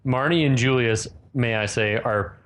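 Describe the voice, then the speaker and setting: A man's voice: medium pitch, unhurried, fairly bright tone, a little hiss or hum. A man co-hosting a podcast, chatting casually about a film with wry, joking asides. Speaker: podcast co-host. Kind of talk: casual movie recap chat.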